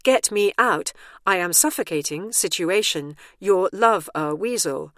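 A woman's voice: fairly low pitch, animated, measured pace, fairly bright tone, no room sound. A lovesick male insectoid alien, acted by a woman, pleading in stilted, broken phrases. The storybook suitor is pushy and lusty, recorded close and dry. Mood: desperate.

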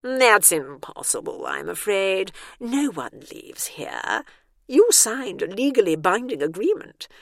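A woman voicing a calm, officious male warden who explains the rules in a measured, bureaucratic way. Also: medium pitch, creaky, no echo, studio quality, full character voice. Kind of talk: audiobook character voice.

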